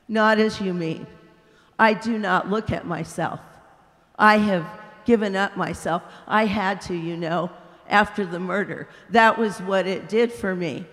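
A woman voicing a serene, gentle man's spirit who answers calmly and slowly. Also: mid-range voice, close to an everyday voice. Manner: calm, gentle, unhurried. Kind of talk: audiobook character voice. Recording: long hall reverb.

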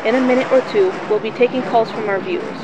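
A woman playing a radio newscaster, reading breaking news in a steady, formal manner. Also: a little high, fast, very noisy, natural voice. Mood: urgent. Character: composed female radio newscaster. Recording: dull lo-fi sound.

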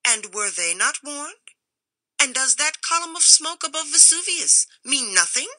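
A woman voicing a male stranger with a thin, high, sharp-edged voice and a foreign accent, who gives solemn warnings. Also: lively intonation, a little slow, dry recording, exaggerated voice. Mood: urgent. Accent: foreign-sounding.

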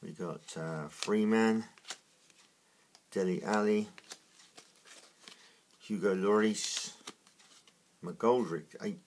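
Croaky, low-voiced man unboxing cards. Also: slight background noise. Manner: slow, halting, many fillers. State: croaky, just woken up. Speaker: trading card unboxing host. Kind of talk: casual unboxing commentary.

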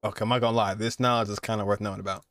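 A man's voice: lowish voice, fairly even intonation, rapid-fire, fairly bright tone. A man in his twenties streaming a game, giving informal, slangy live reactions and commentary. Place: indoor streaming setup, game audio behind.

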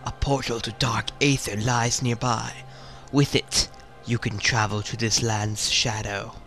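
A man voicing a solemn dead alien temple sentinel with a put-on British accent, reading in a grave, measured, formal way. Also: medium pitch, level tone, bright, forceful tone, faint music behind.

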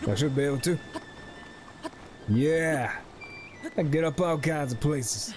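A man's voice: on the high side, measured pace, noisy background. A man giving casual, slangy, playful commentary as he plays a video game. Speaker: gaming host. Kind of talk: casual let's play commentary. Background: game music.

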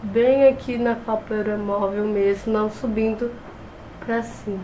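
A woman's voice: medium pitch, fairly even intonation, measured pace, warm tone, slight background noise, poor audio. A young woman with a cold, chatting her way through a makeup tutorial in her bedroom, talking close to the camera and often restarting words. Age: young adult. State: has a cold. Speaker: beauty vlogger. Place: small bedroom with shelves and a clothes rack. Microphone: camera close in front.

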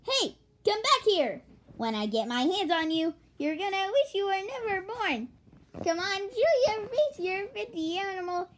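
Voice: mid-range voice, animated, slow pace. A young reader voicing a blustering, bullying, indignant guard from a comic storybook. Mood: angry, blustering. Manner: shouted insults, indignant.

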